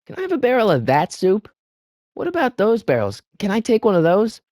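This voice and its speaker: A man's voice: sits high, huge pitch swings, a little fast, a little mellow, no echo, studio quality. A male actor playing an earnest, wisecracking teenage boy in a video game, with a youthful voice and a hesitant, slangy delivery. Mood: puzzled, probing. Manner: hesitant, stammering asides, wry slangy delivery. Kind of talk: video game character lines.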